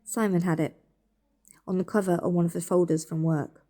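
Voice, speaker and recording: British woman, anxious and insistent, warm dark-edged voice, naturalistic radio play female actor. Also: fairly low pitch, steady tone, normal speed, lightly acted. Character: anxious, insistent woman questioning her relatives in hospital. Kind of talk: naturalistic audio drama acting.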